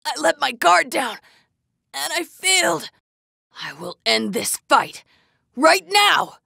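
A woman voicing an earnest, forceful teenage boy martial-arts hero, in anime video game battle lines. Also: high-pitched, big pitch swings, slow, vocal fry, no room sound, clean studio sound, exaggerated voice. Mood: fierce, resolute.

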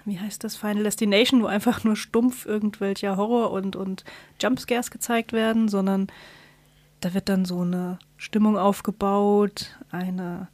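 A woman's voice: medium pitch, flat delivery, unhurried, a little mellow, dry recording. A woman co-hosting a podcast, chatting casually and explaining things while searching for her words. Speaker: podcast co-host.

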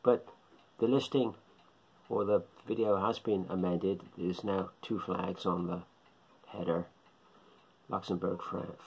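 Husky, gravelly middle-aged Englishman musing. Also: low voice, low-quality recording. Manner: rambling, musing, repeats himself. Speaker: shortwave radio hobbyist vlogger. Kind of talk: casual talk to camera. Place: small indoor living room. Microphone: phone or webcam at close range.

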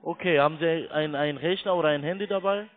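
A fairly high-voiced man, not a native German speaker, works a sales call over a thin phone line. He is insistent and blunt, talking like a call-centre agent. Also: brisk. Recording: tinny narrowband phone line.

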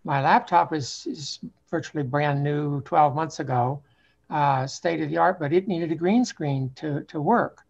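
An older man talking casually in a halting, explanatory way over a video call from a home office. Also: fairly high pitch, gravelly. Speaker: amateur actor new to the stage. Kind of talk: casual reflective talk. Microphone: computer on a video call. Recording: dull lo-fi video call audio.

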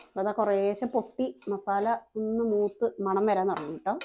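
A woman's voice: medium pitch, moderate pace. Woman, cooking voice-over, friendly explainer, slightly dull lo-fi sound. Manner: chatty, explains each step, frequent tag questions. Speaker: cooking channel host.

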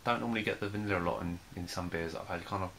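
A young man casually reviewing a beer at home, talking in a relaxed, hesitant way with many fillers. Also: low voice, racing, slight background noise. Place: small room in front of a plain wall.

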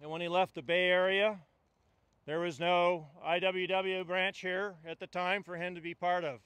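An older man delivering a eulogy outdoors into a stand mic. His voice sits high and bright, with a dry, close sound. He tells stories with wry humor. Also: flat delivery, normal speed, clean tone, dry recording. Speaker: union delegate giving a eulogy. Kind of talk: funeral eulogy. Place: outdoors at a funeral gathering among trees.

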